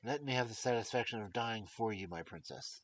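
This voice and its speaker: A man's voice: fairly low pitch, brisk, a bit bright, dry recording. A man voicing a devoted young king, earnest and desperate, while reading a fairy tale aloud. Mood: anguished.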